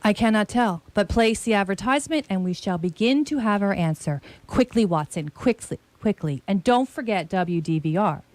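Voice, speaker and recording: A woman playing a shrewd, commanding detective in a radio play, with grandiloquent, deliberate phrasing. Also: mid-range voice, animated, a little mellow, no echo, lightly acted. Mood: confident, deducing. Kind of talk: radio theatre acting.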